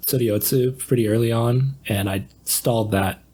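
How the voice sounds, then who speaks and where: A man talking casually and a little hesitantly, pausing mid-thought as he goes. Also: medium pitch, heavy background noise. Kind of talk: casual chat.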